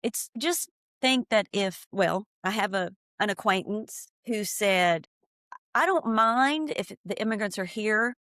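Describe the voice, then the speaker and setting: A woman's voice: mid-range voice, dry recording, clean studio sound. A woman from East Tennessee, an ESL teacher, talking earnestly and with emphasis in an interview. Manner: earnest, animated, emphatic when making a point. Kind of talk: conversational interview.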